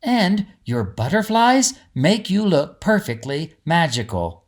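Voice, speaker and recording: A man voicing a kindly, encouraging woman in a storybook, with a gentle, reassuring way of explaining things. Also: on the low side, sing-song, slow, voice pushed up. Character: kindly, encouraging woman guiding a young princess. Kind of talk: storybook character dialogue.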